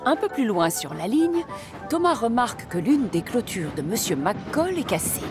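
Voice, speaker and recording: A woman narrating a scripted children's story with expressive delivery. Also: fairly high pitch, moderate pace. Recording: music behind the voice.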